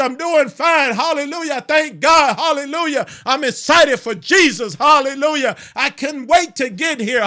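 A man leading a fervent spoken prayer. He punctuates each phrase with a repeated refrain, breaks into shouting, and chants scripture in a sing-song cadence. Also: very high voice. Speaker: prayer leader.

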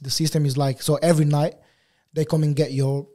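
Guest voice: young man, first language Portuguese, mellow timbre, loose and rambling storytelling. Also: on the high side, a little fast. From Angola, raised in Portugal. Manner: relaxed, rambling, many fillers and restarts. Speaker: guest photographer. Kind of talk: casual interview chat.

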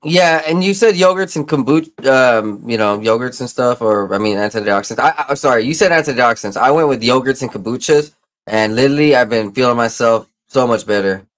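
A man's voice: medium pitch, lively intonation, fairly quick. A man hosting a casual chat, hesitant and full of fillers like "I mean" and "like".

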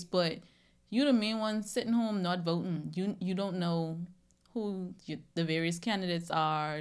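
Woman, twenties, from The Bahamas, low smooth voice, clear tone, casual podcast chat. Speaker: podcast guest sharing own experience.

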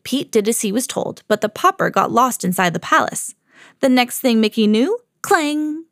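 Higher-voiced mom reading a storybook aloud. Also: female voice, normal speed, no room sound, studio quality. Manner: lively read-aloud, jumps high for quoted shouts. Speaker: mom reading to her kids, podcast host.

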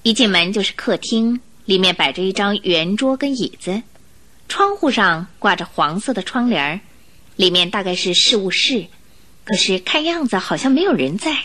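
A woman reading scripted story narration for a radio drama in an expressive way. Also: medium pitch, normal speed.

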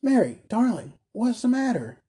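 A man's voice: a little high, normal speed, dark tone, breathy edge. A man voicing an earnest, devoted, plain-spoken carrier husband in a storybook reading. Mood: alarmed, tender.

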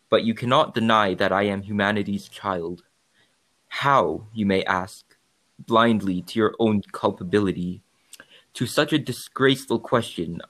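A man's voice: on the low side, a bit bright. A man voicing a bitter, grieving creature in a formal, eloquent dramatic reading of its last testament. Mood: accusing, bitter. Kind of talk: dramatic reading of a written account.

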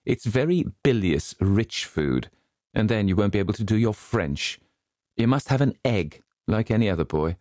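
A man's voice: fairly low pitch, very animated, normal speed, a little mellow, no echo, clean studio sound, pitched above the performer's own voice. A man voicing a strict, cross governess who scolds a boy in a fussy way. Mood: scolding.

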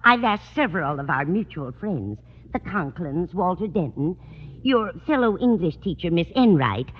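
A woman's voice: lowish voice, very animated, normal speed. An actress playing a kindly, chatty, scatterbrained older landlady in a 1950s radio comedy, fussy and cheerful. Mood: chirpy. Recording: dull, faintly noisy old radio recording.

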